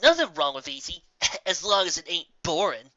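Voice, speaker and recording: A woman's voice: on the low side, sing-song, moderate pace, sharp tone, muffled recording. A woman voicing a lazy, mean-spirited female griffin prankster in a storybook, boastful and scornful. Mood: goading.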